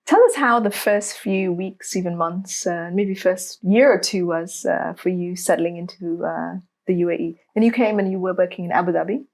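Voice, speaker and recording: A woman's voice: on the low side, moderate pace, creaky, hardly any pauses. Encouraging woman podcast host. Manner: encouraging, affirming with short replies. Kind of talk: interviewing.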